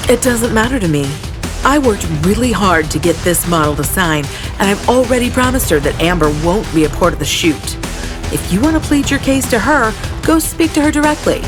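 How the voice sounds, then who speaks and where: A woman's voice: mid-range voice, expressive, brisk, over music, lightly acted. An actress playing a stern female magazine boss in an audio drama, firm, blunt and reproachful.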